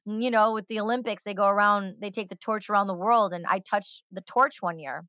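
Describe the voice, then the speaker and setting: A woman's voice: medium pitch, steady tone, brisk, bright, forceful tone, no room sound, clean studio sound. A woman hosting a podcast, talking enthusiastically and emphatically in a rambling, casual way. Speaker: podcast host. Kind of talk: casual interview chat.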